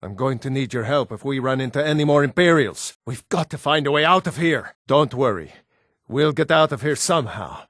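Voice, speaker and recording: A man's voice: medium pitch, fairly bright tone, dry recording, clean studio sound. An actor playing a steadfast, plain-spoken Nord rebel who urges his comrades on in a fantasy video game. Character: rugged, steadfast Nord rebel man, wry and urgent. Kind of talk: fantasy game dialogue lines.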